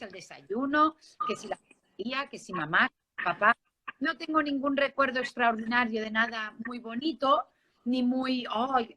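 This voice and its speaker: A woman's voice: on the high side, sharp tone, low-quality recording. A woman with a Spanish accent from Barcelona, telling her life story informally as an interview guest, conversational and often checking in with the listener. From Spain, Barcelona. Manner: conversational, seeks agreement with tag questions.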